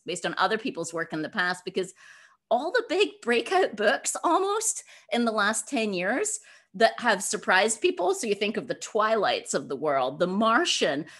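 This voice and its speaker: A woman speaking as a guest author in an interview, informal and emphatic, with animated conversational answers. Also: mid-range voice. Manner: emphatic, informal, acts out imagined dialogue.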